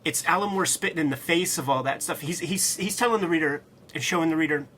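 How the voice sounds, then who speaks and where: A man's voice: fairly high pitch, fast talker, bright, forceful tone, slight background noise. A man co-hosting a casual comics discussion, enthusiastic and slangy, leaning hard on his points. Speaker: co-host.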